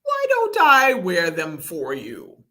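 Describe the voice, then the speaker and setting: A sly male dog from a children's tale, acted out by a woman. He wheedles and bullies with a bright, forceful tone, and his lines swing animatedly up and down. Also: fairly low pitch, huge pitch swings, slow, exaggerated voice. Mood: sly, wheedling. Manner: wheedling turning to growled threats. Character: greedy, bullying, sly male dog trickster.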